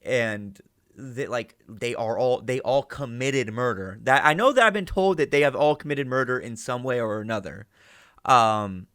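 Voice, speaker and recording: A man's voice: medium pitch, lively intonation, moderate pace, bright, forceful tone, clear, resonant tone, no echo, clean studio sound. A man giving casual analytical commentary as a reaction host, thinking aloud and often checking with "right?". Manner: musing, thinking aloud, seeking agreement with "right?".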